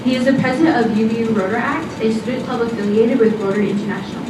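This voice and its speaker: A woman moderating a panel, reading a quick, formal introduction of the next speaker. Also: fairly high pitch. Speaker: event moderator. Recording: very echoey, distant, noisy.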